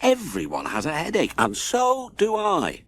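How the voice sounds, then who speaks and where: A man voicing an earnest, excitable wizard in a children's storybook. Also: high voice, unhurried, pitched above the performer's own voice, exaggerated voice. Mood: weary.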